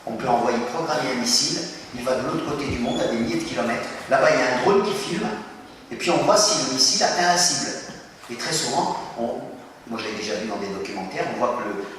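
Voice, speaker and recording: A man giving an animated talk to a school audience, questioning them and acting out little scenes. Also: medium pitch, fast. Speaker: speaker addressing students. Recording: echoey hall from far off, noisy.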